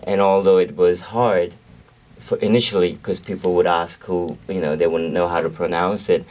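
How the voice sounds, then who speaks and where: Deep-voiced man, rambling and relaxed. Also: moderate pace, far from the mic. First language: Urdu. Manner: rambling, self-correcting, laughs easily. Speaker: guest singer-songwriter. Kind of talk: radio interview conversation.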